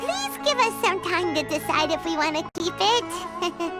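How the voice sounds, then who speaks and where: A woman voicing a small, excitable, childlike floating companion creature with a squeaky voice in an anime video game. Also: a little slow, over music, full character voice. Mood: awkward, diplomatic.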